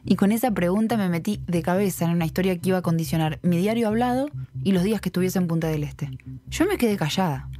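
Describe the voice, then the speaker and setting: A woman's voice: fairly low pitch, quick pace, dark tone, no room sound, close to an everyday voice. A woman playing a talkative, curious young woman who narrates a mystery in the first person for a podcast drama. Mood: intrigued, confiding.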